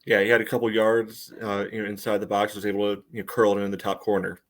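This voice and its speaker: A man's voice: on the low side, monotone, fast. A man on a podcast panel giving casual commentary on a match.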